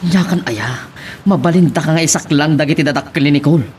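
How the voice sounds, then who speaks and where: A man's voice: a little high, quick pace, a little hiss or hum, close to an everyday voice. A man playing an exasperated, responsible older brother in an Ilocano radio drama, with a Filipino Ilocano accent. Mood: reproachful.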